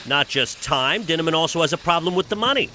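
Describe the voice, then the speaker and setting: A man's voice: a little high, lively intonation, fast, bright, forceful tone, slight background noise. An American man working as an investigative reporter, delivering scripted broadcast news narration.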